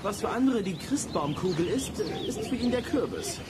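A man's voice: high voice, lively intonation, moderate pace, a loud crowd. An actor playing a wary, grumbling boy detective in a children's radio play. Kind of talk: German audio drama acting. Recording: loud background noise, outdoor ambience.

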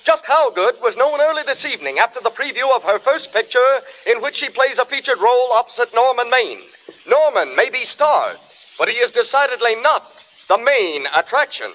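An actor playing a punchy, gushing Hollywood gossip reporter, announcing like a 1930s radio newsflash. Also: male voice, very high voice, expressive, fairly bright tone, low-quality recording. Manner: rapid-fire showbiz hype. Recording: vintage radio broadcast sound.

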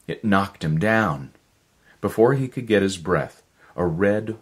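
A man in his fifties reading a story as a voice actor, in a measured, expressive, dramatic narration. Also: extremely low pitch. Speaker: voice actor, audiobook reader. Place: living room, indoors.

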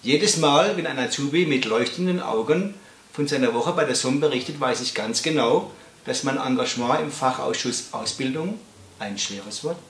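A man's voice: mid-range voice, normal speed, fairly bright tone, reverberant. A man in his fifties who owns a music store, giving a hearty, emphatic video greeting from a guitar shop.

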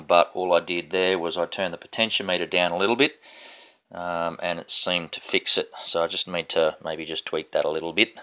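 Deep-voiced man, casual demo. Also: fairly bright tone. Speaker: hobbyist builder presenting his project. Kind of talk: casual hands-on troubleshooting demo.

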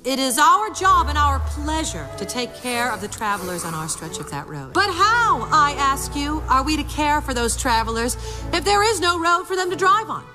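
A woman's voice: high voice, sing-song, moderate pace, over music. A woman voicing a confident blue sports car giving a persuasive speech to her town.

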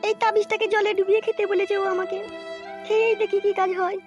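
A performer voicing a shrill, insistent, money-hungry village wife in a comedy cartoon. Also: level tone, fast, dull audio, loud music behind the voice. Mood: puzzled, insistent. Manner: insistent, nagging.

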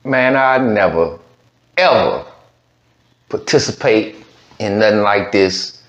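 A man's voice: on the low side, animated, slow. A man hosting a reaction video, talking casually and rambling with lots of "you know what I'm saying.". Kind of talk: casual commentary. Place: home studio with green-screen backdrop. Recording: roomy, slightly dull sound.

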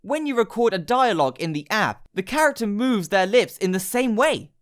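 A woman narrates a scripted how-to guide with a lively, moving pitch. She speaks in short instructional steps, laying out each direction plainly. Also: normal speed. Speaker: tutorial narrator. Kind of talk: scripted step-by-step app tutorial narration.

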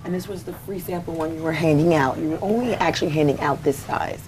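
A young woman sits on a studio panel and recalls selling to customers, chatting casually in a fairly low voice. She is lively and quick with a joke, picked up on a lapel mic with faint hiss behind. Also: low-quality recording. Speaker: panelist, cigar retailer. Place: studio set, indoors.

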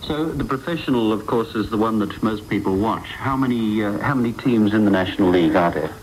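A man's voice: lowish voice, a little fast. A man hosting a listener feedback show on radio, recorded off a noisy 1980s shortwave broadcast. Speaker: radio host.

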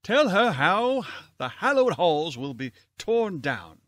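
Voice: mid-range voice, sing-song, slow, a bit bright, no room sound. An actor playing a pompous, greedy, dramatic male college head in an old-time radio comedy. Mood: scheming.

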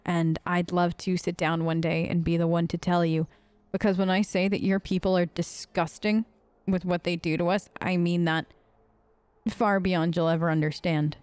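A woman's voice: lowish voice, a little mellow, clean tone, no echo. A woman hosting a let's play, improvising in-character replies and musing commentary in a conversational way.

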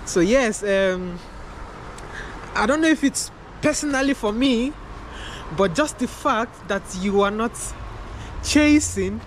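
Young guy vlogging outside, soft girlish-sounding voice, keeps saying man and bro, cars going by loud in the background. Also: very high-pitched. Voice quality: light, feminine-sounding voice. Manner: loose, repetitive, peppered with "man" and "bro". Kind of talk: casual to-camera talk. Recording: outdoor recording with clear traffic noise. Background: passing cars.

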